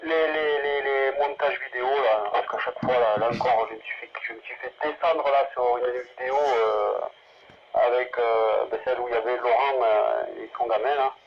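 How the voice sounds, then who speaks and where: A man's voice: medium pitch, fairly even intonation, normal speed, a little hiss or hum. A man chatting casually as an amateur radio operator, rambling and hesitant, heard through a radio link. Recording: muffled, narrow-band two-way radio transmission.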